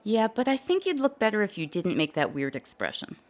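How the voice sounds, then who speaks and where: A woman's voice: mid-range voice, big pitch swings, fairly quick, lightly acted. A woman playing a cool dater who gives backhanded compliments matter-of-factly in a comedy audio drama. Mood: coolly cutting.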